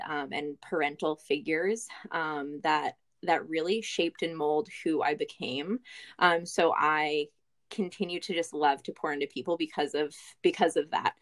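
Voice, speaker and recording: An American woman telling her personal story as a guest in a candid, reflective, halting way, often restarting her phrases. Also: low voice. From Boston area, Massachusetts, USA. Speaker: podcast guest, business owner.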